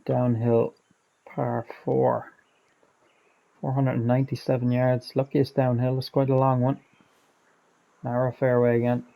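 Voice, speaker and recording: A man hosting a golf game playthrough with chatty, self-critical play-by-play, a little hoarse and losing his voice. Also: mid-range voice, warm tone, slight background noise, poor audio. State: losing his voice, clearing throat. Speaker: gaming channel host. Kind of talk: gameplay commentary.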